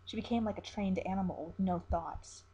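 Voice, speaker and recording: A woman storyteller reading a dark, dramatic tale as scripted narration. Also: mid-range voice, fairly quick, slightly rough, lo-fi sound.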